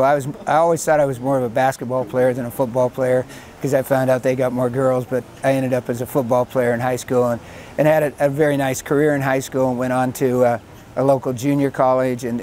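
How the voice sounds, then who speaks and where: An older man with an American accent and a middle-pitched voice talks casually about his coaching life. He tells anecdotes in a self-deprecating way. Faint outdoor traffic noise sits behind him. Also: a little mellow. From Washington state, USA. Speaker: American football head coach. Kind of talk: casual interview reminiscing.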